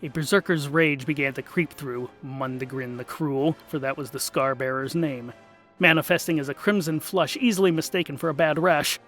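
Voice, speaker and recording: A man's voice: fairly high pitch, steady tone, normal speed, a bit bright, faint music behind. A man narrating a comic fantasy audio drama in a wry, mock-epic, emphatic theatrical style.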